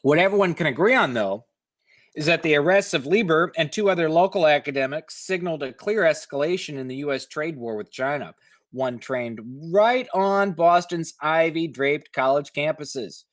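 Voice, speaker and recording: A man hosts a news reading with his own commentary. His voice sits a little high, recorded dry and close. He moves between reading prose and skeptical, opinionated asides. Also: expressive, normal speed, dry recording. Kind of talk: reading articles aloud with sardonic ad-libbed asides.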